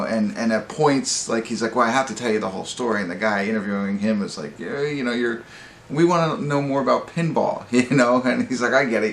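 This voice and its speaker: A man with a lowish, notably creaky voice gives an informal film review as host. He talks in a loose, halting, conversational way, wandering as he goes. The room adds a clear echo around his words. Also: a bit bright. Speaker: host of a movie review show. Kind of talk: casual off-the-cuff movie review. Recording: roomy echo, background room noise.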